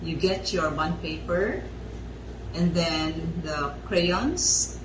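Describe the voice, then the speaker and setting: A woman with a Filipino accent giving an instructional radio lesson to students, speaking in deliberate short phrases and guiding them with direct instructions. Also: lowish voice, background noise. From Philippines. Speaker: teacher hosting a school-on-the-air radio lesson.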